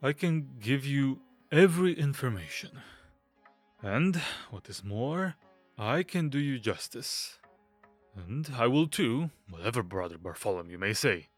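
Man acting a stammering, fussy, nervous little gentleman. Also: mid-range voice, animated, unhurried, soft background music. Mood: eager, conspiratorial. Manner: stammering, fidgety, obsequious, nervous little laughs. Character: fussy, nervous, hypochondriac young Englishman, aesthete host. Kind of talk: audio drama acting.

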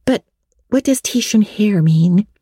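A woman's voice: medium pitch, expressive, unhurried, dark tone, breathy edge, no room sound, studio quality, voice pushed up. A woman voicing a chatty, romantic teenage girl who gushes eagerly, in a storybook reading. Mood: eager, admiring.

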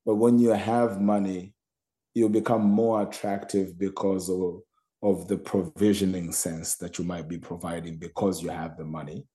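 A man co-hosting a podcast in casual chat, halting and repeating words before he makes his point. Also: deep voice, flat delivery, warm tone. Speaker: podcast co-host.

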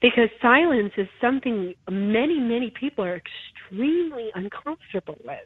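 A woman's voice: fairly high pitch, sing-song, normal speed, husky, dry recording. A woman hosting a talk show, speaking earnestly and reflectively and often restarting her sentences. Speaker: show host. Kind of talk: conversational interview talk. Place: her home. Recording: tinny phone-like line.

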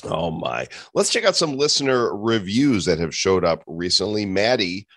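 A man's voice: lowish voice, lively intonation, moderate pace, a bit bright, no room sound, clean studio sound. A man co-hosting a podcast, wrapping up with easygoing, joking banter. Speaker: podcast co-host. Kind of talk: casual chat.

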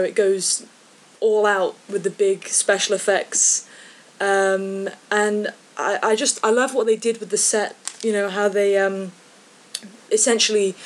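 A woman talks as a podcast guest about film. She speaks in a casual, hesitant way and fills her pauses with ums and likes. Her pitch sits in the middle of the usual range. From English Midlands.

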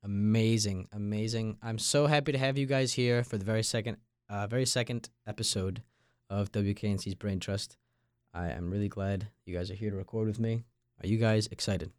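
A man's voice: fairly low pitch, steady tone, fairly quick, darkish tone, no echo, studio quality. A young man in his late teens from Long Island, New York, hosting a podcast and interviewing guests in a conversational way. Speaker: podcast host. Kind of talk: scripted intro and casual interview.

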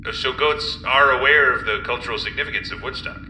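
Man as earnest professor, very bright voice, roomy echo. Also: medium pitch, fast, a little hiss or hum. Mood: formal. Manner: stiff, formal interviewer tone, many "uh"s. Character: earnest male professor running a strange experiment. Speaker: host. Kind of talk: improvised comedy.

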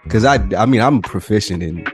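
Low voiced guy podcasting, blunt and sweary slang, casual chat, bit of music in the back. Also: lively intonation, fairly quick. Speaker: podcast co-host.

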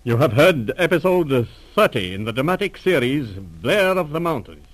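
A man's voice: on the high side, sing-song, normal speed, no echo. A man reading a formal, scripted announcement as the announcer of an old-time radio serial.

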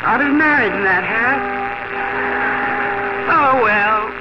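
A woman's voice: a little high, lively intonation, takes it slowly, heavy background noise. An actress playing a sentimental, chatty middle-aged mother who is cleaning out her attic, in a 1950 radio drama. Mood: nostalgic. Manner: chatty and sentimental, talking to herself. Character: fond, fussy small-town mother cleaning the attic. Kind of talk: 1950s radio drama acting. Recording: dull lo-fi 1950 radio broadcast.

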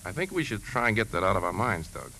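A man's voice: fairly low pitch, very animated, fast, creaky, sharp tone, heavily acted. A man playing a kindly, concerned, slightly hesitant uncle in a 1950s radio drama. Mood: troubled. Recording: noisy 1950s radio broadcast recording.

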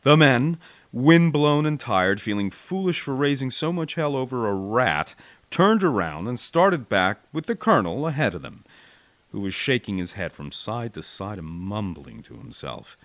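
An American man narrating a war memoir as an audiobook, with an expressive, wry, folksy storytelling delivery. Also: mid-range voice, normal speed, no echo. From United States.

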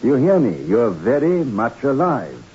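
An actor playing a calm, firm doctor, soothing yet brisk, in an old radio drama. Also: male voice, mid-range voice, slightly rough, heavily acted. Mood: reassuring. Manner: soothing but brisk, commanding. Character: calm, firm, reassuring male island doctor. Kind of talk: 1960s radio drama acting. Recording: muffled, noisy old radio recording.

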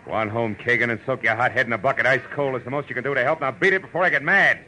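An actor playing a tough, sardonic private eye in a 1940s radio noir, wry, clipped and world-weary. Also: male voice, medium pitch, racing, bright, forceful tone, a little hiss or hum. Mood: tough, scornful. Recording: muffled vintage radio recording.

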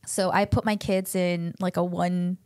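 A woman's voice: lowish voice, level tone, a little slow, darkish tone, smooth, no room sound, clean studio sound. A woman from the United States, co-hosting a podcast and giving chatty parenting advice full of "like" fillers. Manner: chatty, many "like" fillers, voices quotes animatedly.

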